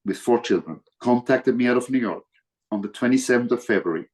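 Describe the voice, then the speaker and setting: An older man from Switzerland with a Swiss accent, a guest answering interview questions formally and earnestly on a video call, pausing often with fillers. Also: medium pitch, darkish tone.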